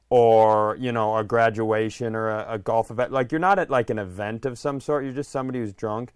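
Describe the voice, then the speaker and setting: A man with a smooth, clean voice at a middling-low pitch, recorded close and dry. He talks as a podcast host, rambling casually and poking fun at himself. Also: dry recording. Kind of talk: casual comedic conversation.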